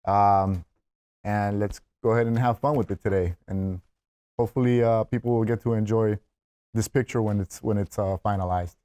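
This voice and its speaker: A man's voice: fairly low pitch, steady tone, normal speed, dark tone. A man hosting a table read, welcoming the group and narrating screenplay stage directions.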